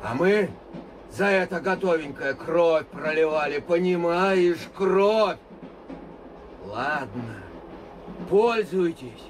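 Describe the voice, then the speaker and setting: Lecturing old veteran on a train, noisy background. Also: male voice, a little high, animated, takes it slowly, long pauses. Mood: heated. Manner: lecturing, grows heated and emphatic. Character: older male Soviet veteran, boastful and lecturing. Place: train compartment.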